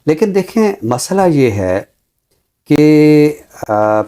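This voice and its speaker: A man speaking as a teacher, explaining his views deliberately with emphatic repetition. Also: a little high, very animated, warm tone, clear, resonant tone. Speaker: Urdu teacher, programme guest. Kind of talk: considered discussion, explanatory.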